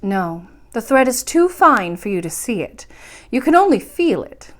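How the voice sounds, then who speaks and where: A woman's voice: a little high, a little slow. Serene, mysterious storybook grandmother, played by a woman. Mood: explaining. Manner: calm, patient, instructive. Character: kindly, serene, mysterious magical great lady grandmother. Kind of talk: storybook character voice in a read-aloud.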